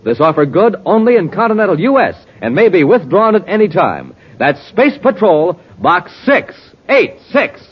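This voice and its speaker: A man announcing on 1950s radio with an urgent, enthusiastic, exclamatory sales pitch aimed at children. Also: very animated, normal speed, few pauses, breathy edge. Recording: muffled old radio recording, faint hiss.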